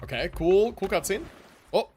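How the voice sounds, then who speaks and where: Young man livestreaming, animated pitch swings. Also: moderate pace. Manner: slangy, laid-back reactions. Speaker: game livestreamer. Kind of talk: casual livestream commentary, reacting to a game. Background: game music.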